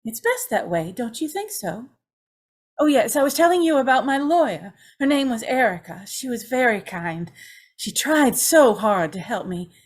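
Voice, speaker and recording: A woman playing a tormented, fragile young prisoner in an old-time radio drama, halting and repeating herself in anguish. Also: fairly high pitch, big pitch swings. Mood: weary grief. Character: tormented young condemned woman, haunted and fragile, confessing to Mother.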